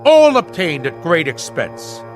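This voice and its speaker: A man's voice: fairly high pitch, wildly swooping pitch, measured pace, soft background music. A man playing a pompous middle-aged husband obsessed with the navy, speaking in theatrical, florid nautical language in a radio drama. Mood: indignant.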